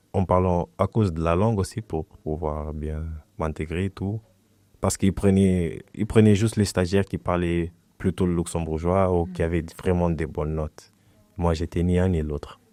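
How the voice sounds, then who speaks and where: A young man from Portugal whose first language is Portuguese, talking as a guest in a relaxed, hesitant way and often restarting his phrases. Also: deep voice, no room sound. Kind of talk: casual conversation.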